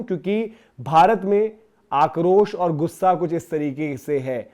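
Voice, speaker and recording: A man's voice: a little high, warm tone. A man presents opinionated sports news commentary, speaking to viewers in an emphatic, conversational way with rhetorical questions.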